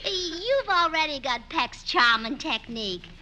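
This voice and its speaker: An actress playing a scatterbrained, cheerful wife, sweetly literal and earnest, in 1940s radio sitcom style. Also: female voice, sits high, animated, unhurried, bright, forceful tone, exaggerated voice. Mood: chirpy. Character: scatterbrained cheerful wife, middle-aged woman.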